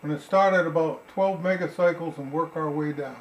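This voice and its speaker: A man's voice: fairly high pitch, fairly quick. A man giving a casual hands-on demonstration as he shows how a restored old radio works. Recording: loud radio noise and static behind. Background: radio playing stations and static.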